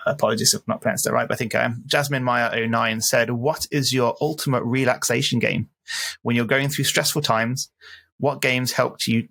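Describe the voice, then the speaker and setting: A man co-hosting a gaming podcast, chatting casually and reading listener questions out slowly. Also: mid-range voice, vocal fry, pushed and bright. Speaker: podcast co-host.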